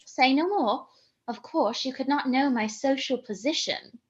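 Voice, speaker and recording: A very high-voiced, haughty lady. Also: a little slow, lo-fi sound. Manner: haughty, arch, declamatory. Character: flighty, self-important young lady, brazen and grandiose. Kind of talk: theatrical radio comedy monologue and dialogue.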